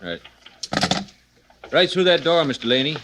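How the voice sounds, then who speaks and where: An actor playing a polite police desk officer in a 1940s radio crime drama. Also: male voice, on the high side, moderate pace, close to an everyday voice. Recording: faintly noisy vintage radio recording.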